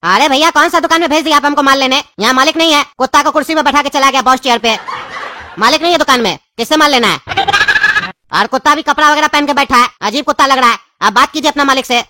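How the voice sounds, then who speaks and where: A man voicing a cheeky, mouthy tempo driver in a cartoon, brash and mocking, with a Bihari Hindi accent and a pitched-up voice. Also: fast talker, background noise. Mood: impatient complaining.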